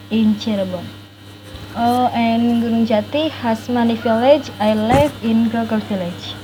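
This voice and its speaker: Indonesian-accented woman, 19, earnest careful reader, warm tone, slightly high pitch. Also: a little hiss or hum, rough recording. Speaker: university student. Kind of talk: reading a personal life story.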